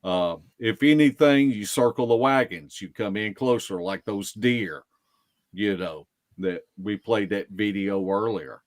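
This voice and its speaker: Slow-talking man with a drawl. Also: lowish voice. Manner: folksy, unhurried, repeats and circles back. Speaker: podcast guest, field investigator. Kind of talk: casual storytelling.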